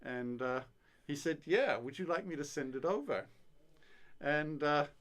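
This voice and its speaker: A man's voice: a little high, expressive, a bit bright. Hesitant man chatting as a studio guest. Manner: hesitant, halting, many ums and throat clearing. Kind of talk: casual chat about ham radio.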